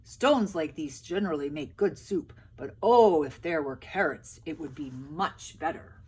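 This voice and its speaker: Crafty storybook soldier read by a woman, wistful and grand, husky, emphatic, coaxing. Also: low-pitched, expressive, a little slow, darkish tone, full character voice.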